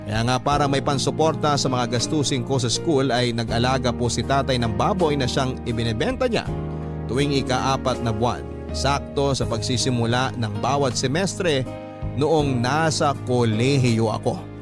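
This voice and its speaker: A man's voice: medium pitch, moderate pace. A man narrating a scripted letter as a radio host, in a classic storytelling style. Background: background music.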